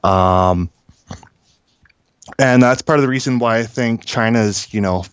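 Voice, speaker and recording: A man speaking as a guest caller, giving informal industry commentary in a halting, blunt, opinionated way with many filled pauses. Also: mid-range voice, clear, resonant tone, no echo.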